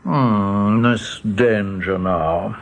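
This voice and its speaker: A man's voice: lowish voice, gravelly, a little hiss or hum, exaggerated voice. An actor playing an old male rabbit chief in a radio drama, polite, patronising and faintly pompous. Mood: polite, condescending. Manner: courtly, patronising, deliberate.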